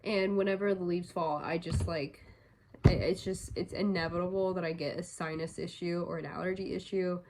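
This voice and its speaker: A woman's voice: on the low side, steady tone, moderate pace, heavy background noise. A young woman vlogger chatting casually, a little congested and stuffy-nosed, rambling with lots of fillers. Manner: rambling, filler-heavy talk. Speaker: crochet vlogger. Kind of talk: casual vlog chat.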